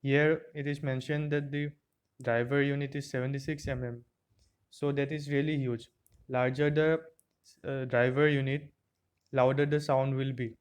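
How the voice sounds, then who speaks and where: A man's voice: medium pitch, little pitch movement, moderate pace, clear, resonant tone. A man narrating an unboxing and product review in a plain, step-by-step explaining way, recorded on an earphone microphone.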